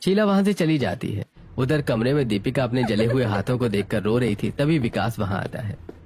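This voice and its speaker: A man's voice: mid-range voice, animated, normal speed, background noise. A man reading scripted narration for a family moral story, setting out scenes as the narrator.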